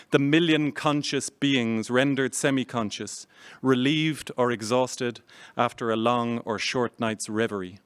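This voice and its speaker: Irish guy reading his poem on stage, slow and measured, close dry sound. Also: mid-range voice, clear, resonant tone, no echo. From Ireland. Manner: measured, unhurried recitation. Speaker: poet reading his own work. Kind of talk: poetry reading. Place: theatre stage.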